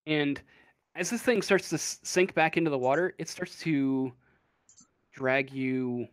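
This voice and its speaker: A man narrating a tabletop game as game master in a casual, halting, improvised way. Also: on the high side, smooth, no room sound. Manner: halting, hesitant, casual delivery.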